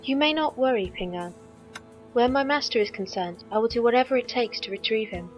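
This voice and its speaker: An actress acts out a loyal squire in a space audio drama. She speaks in a fairly high voice with formal, exact phrasing and calm composure, faint music underneath. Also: brisk, dull audio, natural voice. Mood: composed, formal. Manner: formal, precise, stilted wording.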